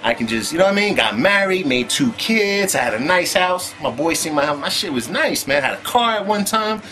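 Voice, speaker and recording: A man's voice: high voice, animated, fairly quick. A man telling his own story in casual, profane street slang, with "man" tossed in constantly. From United States.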